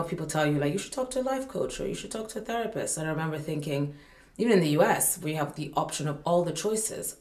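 A woman's voice: deep voice, darkish tone, roomy sound. A woman from Malawi, a humanitarian staff representative, speaking earnestly as a podcast guest, often circling back with fillers and restarts. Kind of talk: podcast conversation.

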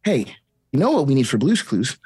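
Husky-voiced woman in a chipper kids' host voice. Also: rumbling low, sing-song, brisk, warm tone, no echo. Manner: chipper, upbeat kids' show host delivery. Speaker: cast member reading the host part. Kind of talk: comedic table read of a kids' show script.

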